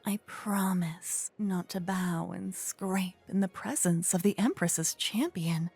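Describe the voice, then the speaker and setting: A woman playing a flirtatious, witty royal tailor in a scripted fantasy ASMR roleplay, breathy and often whispering, with a teasing, refined, gossipy manner, close to a binaural microphone. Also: lowish voice, slow pace, darkish tone, faint music behind, full character voice. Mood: teasing, confident.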